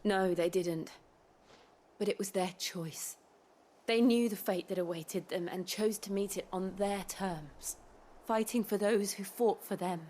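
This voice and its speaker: An actress playing a calm, solemn young noblewoman with a soft, breathy voice in a game cutscene. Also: mid-range voice, unhurried, natural voice. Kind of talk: dramatic video game cutscene acting.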